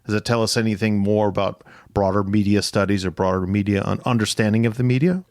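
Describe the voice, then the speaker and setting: Creaky-voiced man hosting a halting interview. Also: on the low side, steady tone, a little mellow, no echo, studio quality. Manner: halting, repeats words and restarts often. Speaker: podcast host. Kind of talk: conversational interview.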